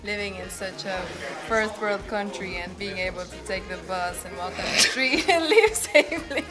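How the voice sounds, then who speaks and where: A woman's voice: medium pitch, expressive, normal speed, over music. A young woman from Mexico, a student answering interview questions cheerfully. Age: young adult.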